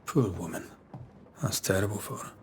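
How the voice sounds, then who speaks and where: A man's voice: on the low side, normal speed, slight background noise, lightly acted. Actor as a kindly male ghost hunter. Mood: sympathetic, consoling. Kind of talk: video game cutscene acting. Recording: music under the dialogue.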